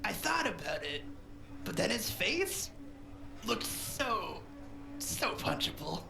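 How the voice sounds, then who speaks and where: A woman's voice: medium pitch, sing-song, takes it slowly, creaky, full character voice. A woman playing a brash, skeptical gangster, blunt and suspicious, in a noir audio drama. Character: brash, suspicious, slow-on-the-uptake female gangster. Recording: loud music behind the voice.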